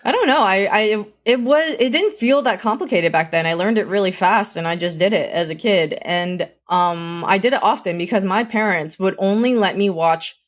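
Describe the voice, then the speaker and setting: A woman's voice: mid-range voice, normal speed, few pauses. A woman in her thirties co-hosting a casual podcast, chatting and reminiscing at length. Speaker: podcast co-host. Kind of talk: casual chat.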